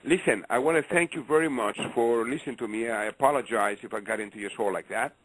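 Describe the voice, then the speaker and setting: A man's voice: medium pitch, quick pace, a bit bright, slight background noise. A man with a Greek accent calling into a radio talk show, speaking passionately and emphatically, often repeating himself. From Greece. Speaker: caller. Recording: phone line on radio show.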